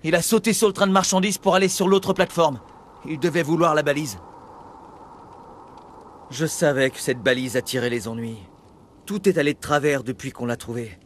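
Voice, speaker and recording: Man acting a high-voiced, rattled coward. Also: moderate pace, pauses often, slight background noise, natural voice. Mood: agitated, rattled. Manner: nervous, pleading, rambling. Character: frightened, cowardly, rattled human male survivor. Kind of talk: dubbed video game cutscene acting.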